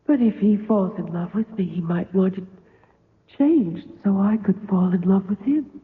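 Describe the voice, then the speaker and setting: A woman's voice: medium pitch, moderate pace, phone-quality sound, natural voice. An actress playing a coy, vain, teasing female phantom muse in an old-time radio drama, musing and needling with questions. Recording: reverberant, thin old radio broadcast.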